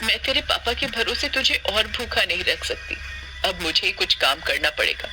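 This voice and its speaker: A woman's voice: medium pitch, big pitch swings, normal speed, music in the background, natural voice. A woman voicing a poor, long-suffering young wife and mother in a cartoon, earnest and pleading. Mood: sad, gentle. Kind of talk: Hindi cartoon voice acting.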